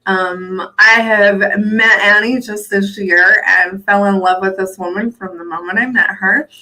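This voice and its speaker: An upbeat woman hosting a live stream, her voice sharp and bright. She introduces her guest excitedly, with lots of casual fillers. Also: medium pitch, unhurried, rough recording. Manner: enthusiastic, filler-heavy introductions. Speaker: live show host.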